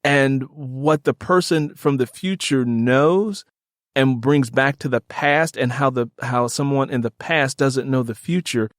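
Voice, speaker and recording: A man with a lower-middle pitched voice hosts a podcast interview in a very dry recording. He talks casually and with enthusiasm, often repeating a phrase to stress it. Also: a little slow, no room sound. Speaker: podcast host interviewing an author.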